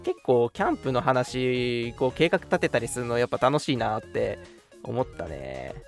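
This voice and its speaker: A man's voice: medium pitch, expressive, moderate pace, creaky. A young man co-hosting a live radio talk show, mixing casual, jokey banter with polite announcements. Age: young adult. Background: background music.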